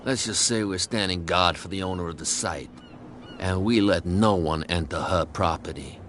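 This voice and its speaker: A sneering bootlegger thug. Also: male voice, low-pitched, normal speed, a little hiss or hum. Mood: menacing. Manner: sneering, mocking, often hushed. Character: surly male bootlegger thug guarding a warehouse. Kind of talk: video game voice acting.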